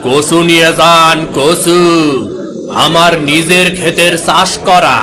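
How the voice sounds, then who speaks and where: Slow, folksy village market man acted by a man. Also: fairly high pitch, steady tone, crowd noise. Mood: hawking. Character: folksy village market vendors and old uncle. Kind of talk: cartoon voice acting.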